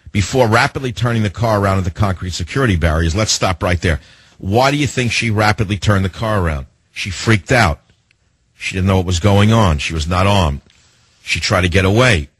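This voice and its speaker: Indignant talk radio host, low husky voice. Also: male voice, fairly bright tone, no echo. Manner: indignant, emphatic, rhetorical questions; slows down to hammer lists and key phrases.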